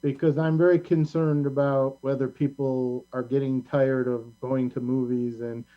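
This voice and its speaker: A man's voice: medium pitch, flat delivery, moderate pace. A man hosting a casual group chat. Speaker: host. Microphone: video call. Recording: muffled online call with hum.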